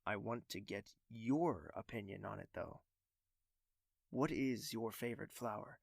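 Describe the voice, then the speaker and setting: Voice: mid-range voice, a little slow, gravelly, no echo, clean studio sound. An actor playing a young prince in an audio drama, refined, distracted and hesitant, musing aloud. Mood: tentative. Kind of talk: scripted audio drama acting.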